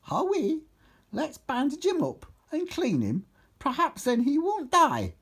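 A woman voicing a crude, excitable Geordie man in a comic poem, coarse and whiny, with a Geordie accent. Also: mid-range voice, unhurried, breathy edge, pitched above the performer's own voice, exaggerated voice. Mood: pitying.

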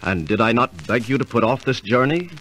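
Man acting a solemn, expressive ancient adviser. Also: mid-range voice, a little fast, lightly acted. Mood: reproving. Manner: solemn, admonishing, archaic formal speech. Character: pious, reproving companion of a merchant, big man. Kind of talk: golden-age radio drama acting. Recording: old radio recording with faint noise.

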